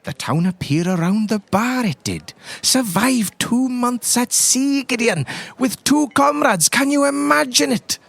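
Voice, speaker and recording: A man's voice: extremely high pitch, expressive, unhurried, a bit bright, dry recording, heavily acted. A man playing a chatty, tipsy priest who loves tall tales, with an Irish accent and a rambling, lilting delivery, in an improvised tabletop game. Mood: reminiscing, wistful. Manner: rambling, lilting storyteller with repeated tag phrases.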